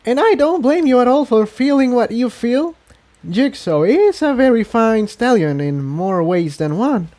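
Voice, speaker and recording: A gentle, forgiving young pony mare from an adventure story, put on by a man, speaks in a high, earnest voice. Her reassuring delivery swings widely in pitch. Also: very animated, unhurried, full character voice. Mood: amused.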